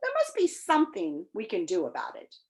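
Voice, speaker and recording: A woman's voice: medium pitch, wildly swooping pitch, fairly quick, reverberant. A woman voicing a regal, declamatory storybook king who is flustered and melancholy. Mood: sad, grumbling.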